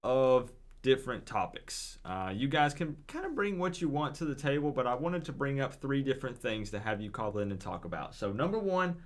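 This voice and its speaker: Man, livestream host, medium pitch, informal, encouraging, chatting directly to viewers. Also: very animated, normal speed, hardly any pauses. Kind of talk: casual live call-in show chat.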